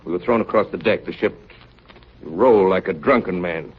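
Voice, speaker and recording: An actor playing a grave ship's officer narrating an Arctic expedition log in a measured, somber 1930s radio drama style. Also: male voice, low-pitched, breathy edge. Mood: grave, tense. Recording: dull 1930s radio broadcast with music.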